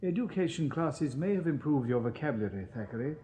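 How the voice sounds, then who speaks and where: An actor playing a pompous, snobbish Victorian police inspector in a radio drama, with an upper-class English accent and formal, condescending delivery. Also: male voice, fairly high pitch, lively intonation, fast, mellow, close to an everyday voice. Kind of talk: 1980s British radio drama acting.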